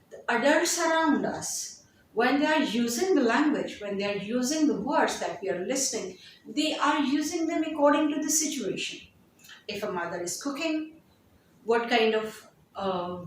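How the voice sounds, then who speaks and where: A woman whose first language is not English, hosting her own channel and slowly explaining a language lesson, often repeating phrases as she builds a point. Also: a little high, slight background noise. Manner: slow, deliberate, repeats phrases while explaining. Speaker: English teacher, channel host. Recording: echoey room, somewhat far from mic.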